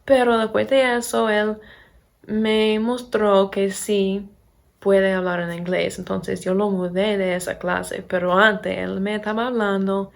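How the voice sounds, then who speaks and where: A young American woman with an American accent, speaking as a second-language learner in a casual vlog, halting and searching for words. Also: mid-range voice, little pitch movement, creaky. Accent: American English accent in Spanish. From Pennsylvania, USA. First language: English. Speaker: vlogger and English teacher. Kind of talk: casual chat to camera.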